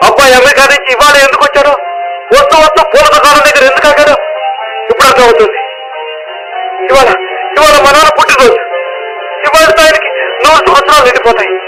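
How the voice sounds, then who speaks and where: An actor playing an emotional, remorseful middle-aged son in a radio play. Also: male voice, extremely high pitch, fairly even intonation, fast, pauses often, over loud music, low-quality recording. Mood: moved, remorseful.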